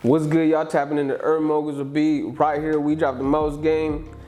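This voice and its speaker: A man hosting a casual interview podcast, talking in relaxed slang and often agreeing with the guest. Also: a little high, soft background music. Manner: relaxed, slangy, quick back-channel agreement. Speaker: podcast host.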